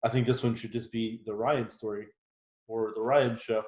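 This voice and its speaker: A man co-hosting a podcast, chatting casually with halting phrasing and dry teasing. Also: lowish voice, flat delivery, a little mellow. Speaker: podcast co-host. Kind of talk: casual chat. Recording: echoey room.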